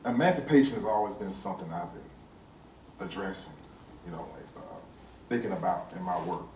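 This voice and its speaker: A man speaking reflectively as an artist on a panel, halting often to pause and search for words. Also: low-pitched, lively intonation, a little mellow. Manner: halting, with many pauses and hesitations. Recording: roomy, distant mic, faint noise.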